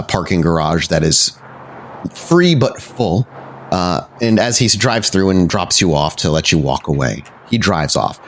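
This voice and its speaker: Low-voiced male game master. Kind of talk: descriptive tabletop game narration.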